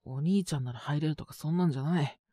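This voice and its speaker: A man playing a young student with a cold in a voice drama, husky and prone to coughing, speaking in a casual, hesitant, youthful way. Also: on the high side, fast talker, warm tone, no echo, studio quality. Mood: exasperated, embarrassed. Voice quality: husky, with frequent coughing fits. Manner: casual youthful speech, trailing hesitant phrases. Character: young male student with a cold, polite, mildly exasperated. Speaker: voice actor. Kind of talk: one-sided situation voice drama.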